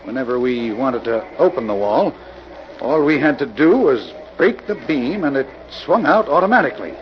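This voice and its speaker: An actor playing a captured crook reluctantly explaining himself, in 1940s radio drama style. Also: male voice, medium pitch, lively intonation, moderate pace, natural voice. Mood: compliant, explanatory. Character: Evans, captured male crook reluctantly explaining his secret mechanism. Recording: muffled, noisy old radio broadcast.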